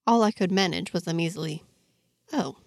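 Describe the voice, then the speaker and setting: Woman reading fiction aloud, really animated pitch, bit of creak, super dry close sound. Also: moderate pace, dry recording. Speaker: host and narrator of a fiction podcast. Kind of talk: scripted first-person story narration.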